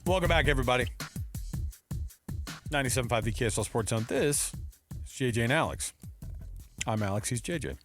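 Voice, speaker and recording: A man's voice: medium pitch, animated, fairly quick, pauses often, over music. A man co-hosting a sports radio show with casual, sarcastic banter and a joking manner. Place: radio studio.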